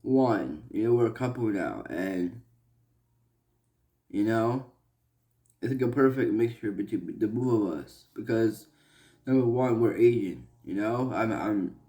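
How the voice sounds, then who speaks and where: A young man from Malaysia talking earnestly in a personal vlog, halting and restarting with many fillers. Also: lowish voice, animated, mellow. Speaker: vlogger.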